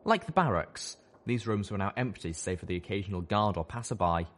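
A man's voice: lowish voice, a little fast, a bit bright. A man giving dramatic scripted narration of a story as an audiobook narrator.

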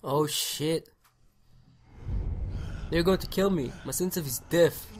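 Man with a cold, hoarse. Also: on the high side. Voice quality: slightly hoarse. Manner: halting, repeats words when unsure. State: has a cold. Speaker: gameplay commentator. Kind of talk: casual let's play commentary.

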